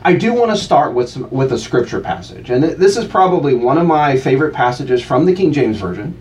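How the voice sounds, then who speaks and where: Animated teasing man on radio. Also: fairly high pitch, brisk, far from the mic, low-quality recording. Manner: teasing, animated, breaks into chanted cheers. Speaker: guest co-host. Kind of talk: playful radio banter.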